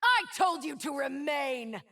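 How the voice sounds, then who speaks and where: A woman's voice: high voice, very animated, vocal fry, pushed and bright, raised voice, full character voice. An actress voicing a proud, wounded, immortal winged woman in a video game, speaking with solemn, dramatic defiance. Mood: furious. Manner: slow, solemn, dramatic delivery. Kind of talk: scripted game character voice lines.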